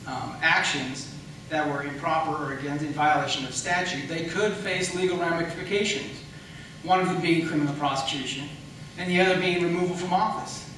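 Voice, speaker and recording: A man's bright, fairly high voice rings out in a very reverberant room, picked up at a distance from the mic. He speaks as a candidate in a political debate, pressing his points in an emphatic way. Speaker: political candidate, incumbent county attorney. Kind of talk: campaign debate speech. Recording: echoey room, distant mic, faint noise.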